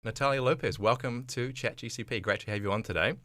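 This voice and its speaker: A man's voice: mid-range voice, quick pace, music in the background. A man hosting a podcast and interviewing a guest in a friendly, chatty way, with frequent "um"s. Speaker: podcast host. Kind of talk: podcast interview, casual chat.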